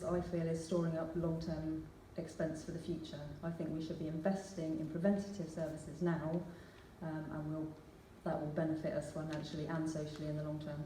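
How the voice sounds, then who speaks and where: A woman makes an earnest, measured speech as a council candidate at a hustings, pausing on filler ums. Her voice is low, flat and mellow. She is recorded from a distance in an echoey church hall with a faint hiss behind her.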